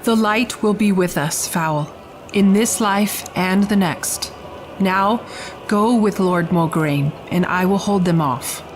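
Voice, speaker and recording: A woman's voice: mid-range voice, slight background noise, natural voice. A woman playing a brave, devout holy knight in a fantasy game cutscene, speaking firmly and solemnly. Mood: resolute. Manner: measured, solemn, firm. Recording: music under the voice.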